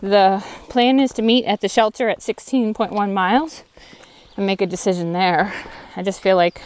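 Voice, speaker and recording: A woman's voice: mid-range voice, steady tone, moderate pace, clear, resonant tone. A woman hiker talking casually and candidly to a handheld phone camera while walking a forest trail outdoors. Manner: chatty, candid, a little tired. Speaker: thru-hiker vlogger. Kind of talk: casual walking vlog narration.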